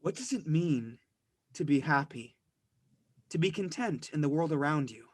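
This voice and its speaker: A man playing a meek, high-voiced vampire boy. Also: lively intonation, normal speed. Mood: pensive. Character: sensitive, troubled teenage vampire boy, meek younger brother. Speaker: actor in a play script reading. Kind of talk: dramatized livestream script reading.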